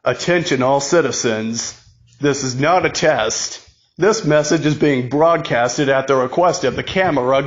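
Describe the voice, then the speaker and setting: A man slowly reading a mock emergency broadcast in a solemn announcer voice, stumbling and repeating words as he goes. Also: mid-range voice, fairly bright tone, dull audio.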